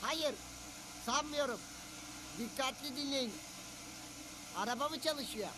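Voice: sits high, a little slow. An actor voicing a keen, knowing young character in a cartoon. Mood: puzzled. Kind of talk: cartoon voice acting. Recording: clear background noise.

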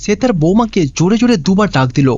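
High-pitched, animated male storyteller. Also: fast, dry recording, dull audio. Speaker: narrator. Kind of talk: scripted cartoon story narration.

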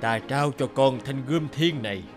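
A man's voice: medium pitch, moderate pace, lightly acted. A man voicing a wise old village elder. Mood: solemn. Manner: solemn, ceremonial speech. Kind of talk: cartoon voice acting. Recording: voice over loud music.

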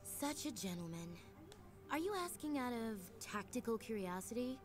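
A woman's voice: on the high side, animated, moderate pace, natural voice. An actress playing a hot-headed young female assassin who talks in an intense, clipped way and swears, in game audio. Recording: game audio under music.

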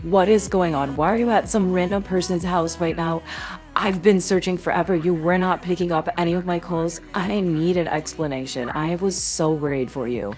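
A woman playing a worried, demanding young best friend in an animated roleplay drama. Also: lowish voice, moderate pace, faint music behind, lightly acted. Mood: worried, urgent.

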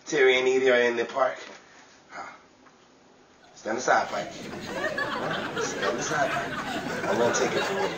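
A man playing an excitable, playful 1950s Hollywood film buff in a radio drama, with stammering repeats. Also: medium pitch, unhurried, pauses often, over a noisy crowd, natural voice. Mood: dramatic, coaxing. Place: small indoor room staged as radio studio. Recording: echoey noisy room, distant camera mic.

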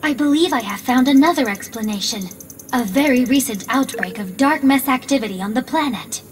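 A woman plays a holographic AI with a notably high voice over faint game music. The phrasing is formal and measured, logical to the point of being literal, as a composed ship's computer in a video game. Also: natural voice. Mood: matter-of-fact. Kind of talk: video game cutscene acting.

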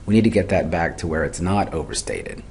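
Very deep-voiced man teaching, noisy recording. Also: fairly quick, darkish tone. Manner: methodical, step by step, poses questions then answers them. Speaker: accounting instructor. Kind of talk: explanatory lecture for a video tutorial.

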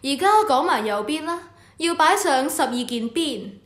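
High-voiced, authoritative temple official played by a woman. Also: animated, normal speed, reverberant. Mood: approving. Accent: Hong Kong Cantonese. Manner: authoritative, instructive. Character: official in charge of the Temple of Heaven, instructive superior. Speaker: voice actor. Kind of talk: scripted educational radio drama.

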